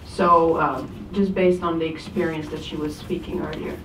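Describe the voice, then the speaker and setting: A woman's voice: low voice, little pitch movement, normal speed, noisy background. A woman moderating a panel, restating an audience question plainly. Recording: echoey room, distant, slightly dull.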